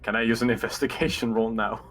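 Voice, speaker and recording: A man's voice: mid-range voice, little pitch movement, fast, fairly bright tone, faint music behind. A man chatting casually as a player in a tabletop roleplaying game.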